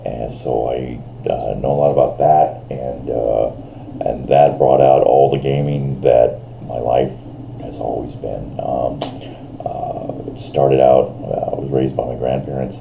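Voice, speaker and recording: A man in his fifties rambling through a casual vlog, full of fillers and restarts, with a voice he calls big and loud. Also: extremely low pitch, fairly even intonation, unhurried, creaky, phone-quality sound, slight background noise. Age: 50s. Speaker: vlogger. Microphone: camera on a screen in front of him.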